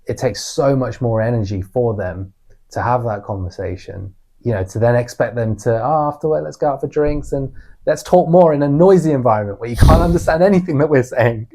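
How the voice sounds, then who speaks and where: A man's voice: medium pitch, a little fast, mellow. A man with a British accent co-hosting a talk podcast, sharing personal experiences conversationally and reflectively, often voicing remarks as quotes. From United Kingdom. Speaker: podcast co-host.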